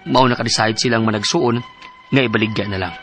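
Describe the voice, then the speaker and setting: A man with a Cebuano Filipino accent acting an eager, trusting husband in a radio drama, animated and exclamatory. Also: fairly low pitch, fast, faint music behind, natural voice. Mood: earnest narrating. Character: eager, trusting husband and father, small investor.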